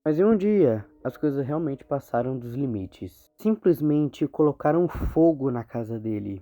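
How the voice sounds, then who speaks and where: A man's voice: mid-range voice, lively intonation, a little slow, mellow. A man with a Brazilian Portuguese accent narrating an informal, slangy storytelling recap as a video narrator. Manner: colloquial, slangy. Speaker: video narrator explaining horror series. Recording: muffled phone-like audio, music behind. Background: background music.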